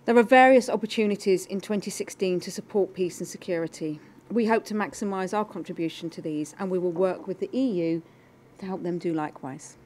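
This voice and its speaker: A woman's voice: fairly low pitch, brisk, a little mellow, slight background noise. A middle-aged British woman giving formal panel remarks as a government development official. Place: conference room, indoors.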